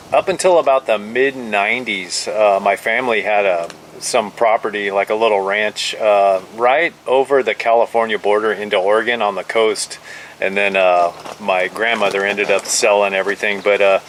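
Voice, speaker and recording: A man's voice: on the low side, steady tone, moderate pace, fairly bright tone, a little hiss or hum, poor audio. An American man making laid-back small talk over two-way radio, with some radio lingo.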